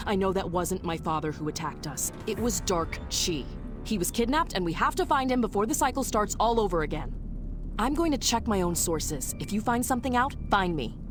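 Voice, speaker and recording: An actress playing an anguished, determined young woman warrior in a video game, with an emotional, halting delivery. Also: mid-range voice, animated, natural voice. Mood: resolute. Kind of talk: video game cutscene acting. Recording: music under the voice.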